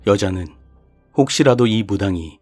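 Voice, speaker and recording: Male narrator, low voice, scripted horror storytelling, faint music behind. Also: lively intonation, moderate pace, darkish tone.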